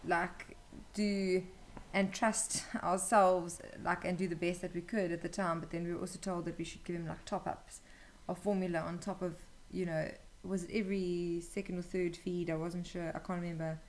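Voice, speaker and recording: A woman's voice: fairly low pitch, little pitch movement, normal speed, few pauses. A young woman, chatting casually as a guest sharing her own experience. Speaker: interview guest, new mother. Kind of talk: casual conversational storytelling. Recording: clearly noisy room ambience.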